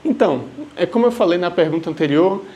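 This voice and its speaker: A man from Salvador in Brazil speaks straight to the camera, answering questions from viewers. His voice sits a little higher than most men's, and his tone is friendly and reassuring. He keeps checking in with the listener as he explains. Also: expressive, fast talker, warm tone. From Salvador, Bahia, Brazil. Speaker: live wedding painter.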